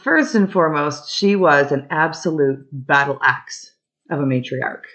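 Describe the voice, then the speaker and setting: A woman's voice: low voice, measured pace, fairly bright tone. A woman podcast guest telling personal stories conversationally, in a candid, emphatic way with colourful colloquial phrasing, on slightly dull audio.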